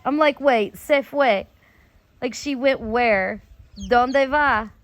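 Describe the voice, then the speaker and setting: Casting: American woman host, high voice, leisurely drawn-out speech, informal personal storytelling. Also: no echo. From United States. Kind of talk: casual rambling storytelling.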